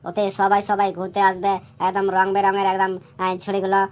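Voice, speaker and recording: Woman playing fast-talking cheeky village lad sidekick. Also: mid-range voice, monotone, close to an everyday voice. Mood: pleading. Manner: colloquial, slangy, nagging. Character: cheeky young male village sidekick of the candidate. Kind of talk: comic cartoon dialogue.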